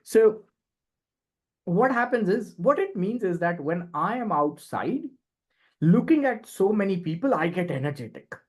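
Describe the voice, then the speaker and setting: A man's voice: sits high, big pitch swings, unhurried. A middle-aged man with an Indian accent explains things conversationally as a podcast guest, emphatically and with frequent short tag questions. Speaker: podcast guest, communication and leadership expert.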